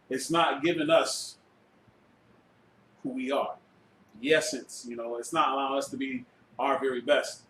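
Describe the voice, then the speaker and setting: A man's voice: medium pitch, a bit bright. A man leading an informal live class like a preacher, conversational and exhorting, repeating phrases for emphasis and dropping in frequent tag phrases. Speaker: religious teacher leading a language class. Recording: roomy echo, faint background noise.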